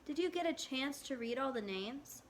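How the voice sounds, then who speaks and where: A woman's voice: high voice, lively intonation, moderate pace, a little hiss or hum. A woman voicing a kindly, concerned male schoolteacher in a storybook, mild and attentive.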